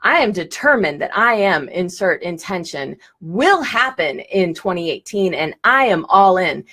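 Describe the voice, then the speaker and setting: A woman's voice: fairly low pitch, lively intonation, measured pace, pushed and bright, husky. A woman teaching a live-streamed lesson, talking in a direct, enthusiastic way with bursts of laughter, on a phone or webcam mic. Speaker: law of attraction teacher.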